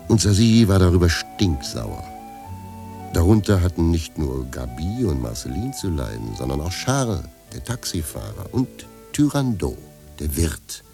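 A man narrating a radio play with dry wit. His voice is extremely deep and gravelly, moving in lively swings, over faint music.

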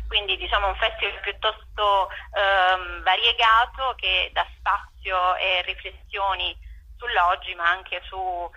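A woman's voice: fairly low pitch, bright, forceful tone, husky, muffled recording. A woman giving explanatory interview answers over the telephone, hesitating as she searches for words. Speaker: festival artistic director, interview guest.

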